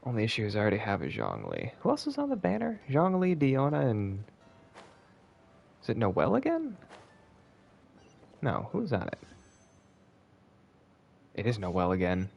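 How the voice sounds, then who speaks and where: A man's voice: medium pitch, wildly swooping pitch, normal speed, vocal fry, pauses often, a little mellow, muffled recording. A man who streams video games, thinking aloud in casual live commentary, muttering and swearing. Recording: faint background noise.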